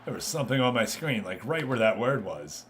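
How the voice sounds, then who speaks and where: A man with a bright, forceful voice streams a football management game. He gives live play-by-play and drops into casual asides to viewers. His delivery is excitable and exclamatory, with a mid-range pitch. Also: fairly quick, a little hiss or hum.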